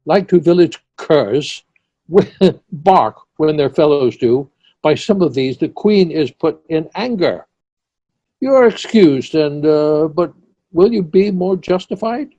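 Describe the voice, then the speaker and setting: Lordly, self-assured king played by a man: higher, mellow voice, emphatic amateur verse reading, muffled call with music. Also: dry recording, full character voice. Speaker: amateur actor in an online play reading. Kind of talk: amateur Shakespeare read-aloud. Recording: dull online call with music.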